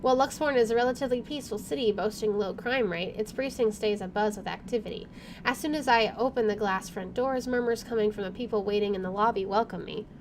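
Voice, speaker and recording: A woman hosting a gaming video, reading visual novel narration aloud in an expressive way. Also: mid-range voice, slight background noise. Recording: faint background game ambience.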